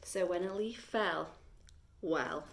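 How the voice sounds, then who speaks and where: A woman reading a children's story aloud like a teacher, deliberate and expressive, with dramatic pauses. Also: lowish voice, vocal fry, a little hiss or hum.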